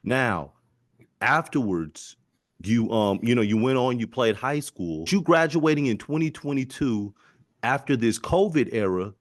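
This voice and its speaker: A man's voice: medium pitch, animated, no echo. Laid-back young male podcast host, dry sound. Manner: laid-back, friendly, with quick affirmations. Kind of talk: casual interview.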